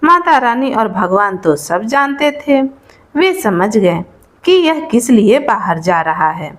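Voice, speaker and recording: A woman's voice: on the high side, sing-song, slow. A woman narrating a devotional folk tale in an informal oral storytelling style, explaining and rephrasing as she goes.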